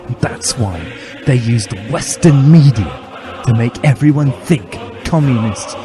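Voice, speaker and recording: A man's voice: medium pitch, lively intonation, a little slow. A man giving a dramatic, mock-serious reading of comic fan fiction with theatrical emphasis. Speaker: reader. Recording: music behind the voice.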